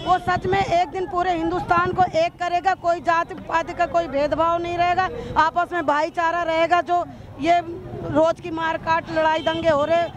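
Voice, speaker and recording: Hoarse middle-aged woman at a rally. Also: extremely high pitch, flat delivery, normal speed, few pauses, lo-fi sound. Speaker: rally participant. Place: city street at a rally. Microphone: reporter's handheld mic.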